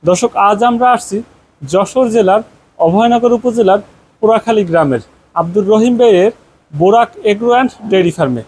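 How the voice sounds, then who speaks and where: A man's voice: high voice, fairly quick, darkish tone, breathy edge. A man with a Bangladeshi accent hosting a farming programme on location, interviewing and often repeating what he hears back. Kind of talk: on-location interview with a scripted-sounding welcome. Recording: noisy on-location farm recording.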